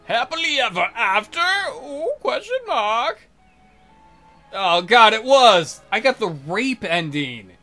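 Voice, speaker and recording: A man narrates a visual novel as a let's play host. His voice sits high and swings widely in pitch. He mixes reading with sarcastic, incredulous asides over faint music. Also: sing-song, slow, sharp tone.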